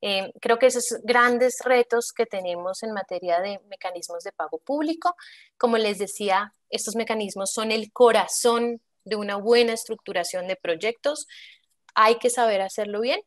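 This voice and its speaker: A woman giving a measured, explanatory answer on a conference panel. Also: medium pitch. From Colombia.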